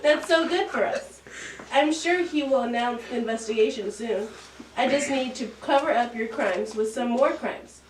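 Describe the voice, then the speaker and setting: A woman's voice: fairly high pitch, normal speed, lightly acted. A woman playing a male lawyer in an amateur satire, the president's eager, scheming crony. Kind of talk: amateur student satire acting. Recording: echoey room, distant mic, noise.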